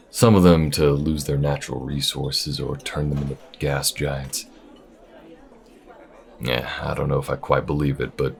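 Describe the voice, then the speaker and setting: A man voicing an easygoing, wry alien bounty hunter with folksy, unhurried phrasing in an audio roleplay. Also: a bass voice, vocal fry, darkish tone, exaggerated voice. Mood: relaxed, teasing. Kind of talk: audio roleplay addressed to the listener. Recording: restaurant chatter behind. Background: restaurant crowd ambience.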